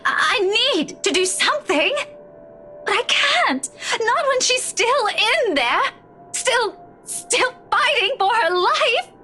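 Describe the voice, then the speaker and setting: An actress in a story game playing a weary, distraught woman who speaks haltingly, with sighs. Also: extremely high pitch, pushed and bright, a little hiss or hum, full character voice. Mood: desperate, tearful. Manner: halting, sighing, faltering speech. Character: weary, distraught woman keeping hospital vigil over loved one. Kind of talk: dramatic game dialogue.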